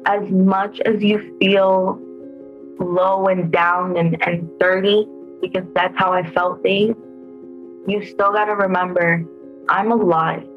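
Twenties woman, interviewee sharing her own story, emotional and halting, flat pitch, dull recording with soft music bed. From El Salvador, raised in the United States. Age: 20s. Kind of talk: personal testimony.

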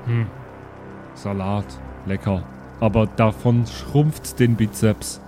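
A man voicing a naive, blunt, childlike oddball with a deadpan, literal-minded way of talking in an improvised audio play. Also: lowish voice, takes it slowly, over music, voice pushed down. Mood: nonchalant.

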